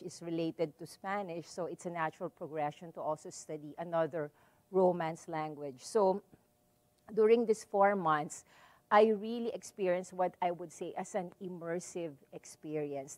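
Filipino lady lecturing in a library, lowish voice, dry close sound, lots of "so" and "um". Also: no echo. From Philippines. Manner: measured, storytelling, frequent "so" and "um". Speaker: visiting lecturer. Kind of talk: public lecture. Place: library hall with a seated audience.

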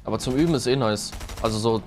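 A young man streaming a shooter game, giving clipped, excited callouts to his teammate in slangy speech. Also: mid-range voice, fast talker, slight background noise. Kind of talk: fast team callouts while gaming.

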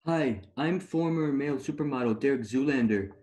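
A man's voice: medium pitch, normal speed, darkish tone, close to an everyday voice. A man playing a vain, dim-witted but earnest male supermodel, clueless in manner, over a video call.

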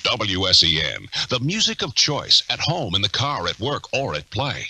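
A man's voice: fairly low pitch, wildly swooping pitch, normal speed, heavy background noise. A man with an American accent announces scripted radio station liners. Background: loud music.

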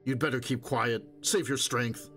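A man's voice: medium pitch, steady tone, unhurried, a bit bright, faint music behind, natural voice. A man voicing a hard younger stalker in an audiobook, speaking coldly and curtly in a dismissive manner. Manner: cold, curt, dismissive.

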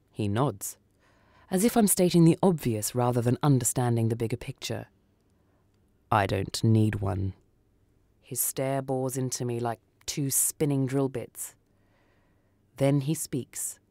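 Mellow man narrating a suspense story. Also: medium pitch, no echo. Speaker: story reader. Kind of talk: scripted first-person suspense narration for radio.

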